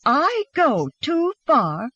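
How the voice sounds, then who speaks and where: A narrator voicing a fiery, sharp-tongued young wife in audiobook dialogue, wounded and defiant, spitting out taunts. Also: mid-range voice, expressive, a little slow, slightly rough, no echo, dull audio. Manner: spitting, taunting, shouting back.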